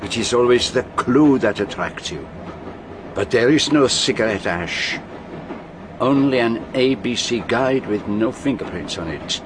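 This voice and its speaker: An actor playing a shrewd, grave foreign detective with a French accent and precise, formal phrasing in a radio drama. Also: male voice, medium pitch, expressive, unhurried, noisy background, natural voice. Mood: dry, exasperated. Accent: French-speaking European.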